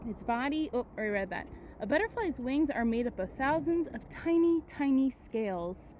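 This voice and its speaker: A woman's voice: high voice, lively intonation, moderate pace, mellow, a little hiss or hum. A woman teacher reading a picture book aloud to children, expressively, with chatty asides and questions to her young listeners.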